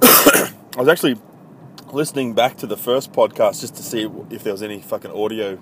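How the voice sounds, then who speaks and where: A man's voice: fairly low pitch, a little fast, a bit bright. A man in his thirties from country Victoria with an Australian accent, hosting a casual solo podcast in a blunt, profane, rambling way full of ums. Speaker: podcast host. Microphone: iPhone. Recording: noisy phone recording with traffic.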